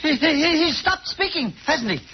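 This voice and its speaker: Very high-voiced, nervous little shopkeeper. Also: male voice, big pitch swings, moderate pace. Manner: nervous, stammering, repetitive. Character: timid, fussy little male tobacconist. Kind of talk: old-time radio horror acting. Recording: muffled, noisy vintage radio.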